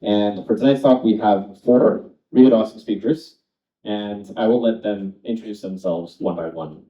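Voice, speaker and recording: A man hosting a panel event and welcoming the audience in a relaxed, hesitant way with many fillers. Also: fairly low pitch, normal speed. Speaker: event host. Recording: echoey room, distant dull mic.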